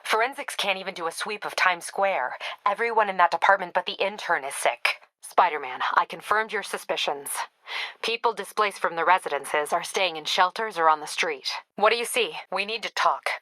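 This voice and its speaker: Video game lady cop captain, lowish sharp voice, clipped and dry humored, no nonsense, super dry studio sound. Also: no echo, poor audio. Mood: businesslike. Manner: brisk, clipped, dryly sardonic. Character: tough, wry, no-nonsense female police captain.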